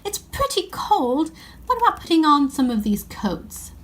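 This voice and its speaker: A woman's voice: sits high, very animated, measured pace, voice pushed up. A woman voicing a practical, sensible schoolgirl from a children's storybook, with proper old-fashioned phrasing.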